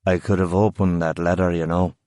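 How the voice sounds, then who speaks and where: Narrator doing a boozy ulster guy, slow drawl, low and dark. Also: little pitch movement, no room sound, studio quality, lightly acted. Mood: drunken drawl. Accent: Northern Irish. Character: drunk gruff middle-aged ex-sailor man.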